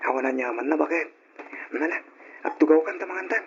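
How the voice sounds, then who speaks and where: An actor playing an insistent, doting suitor and husband in an old radio drama. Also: male voice, a little high, brisk, vocal fry, background noise. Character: doting, insistent bar customer turned eager husband. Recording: dull lo-fi old radio recording.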